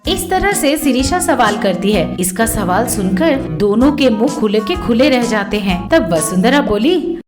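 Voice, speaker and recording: Female storyteller, high and animated, scripted narration of a tale over prominent music. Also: measured pace.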